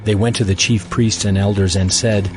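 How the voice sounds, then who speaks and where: Deep male Bible narrator. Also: flat delivery, moderate pace, music in the background.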